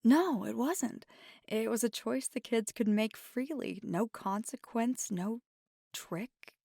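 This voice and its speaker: Woman acting as a flirty vampire gf asmr, soft and whispery, slow w lots of vocal fry, playful n affectionate. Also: fairly high pitch, no echo, clean studio sound, exaggerated voice. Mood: playful, enthusiastic.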